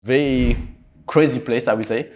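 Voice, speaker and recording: A man's voice: medium pitch, fairly even intonation, normal speed, a little mellow. A man in his thirties with a French accent, a native Cantonese and French speaker, talking casually as an interview guest. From French Guiana. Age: 30s. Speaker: podcast guest, entrepreneur.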